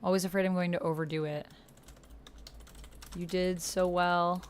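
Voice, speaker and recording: A woman's voice: on the low side, normal speed, clear, resonant tone. A woman chatting casually as she plays a text roleplaying game, commenting and reading typed chat aloud. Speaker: player in a text-based online roleplaying game. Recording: steady background noise with keyboard typing. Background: computer keyboard typing.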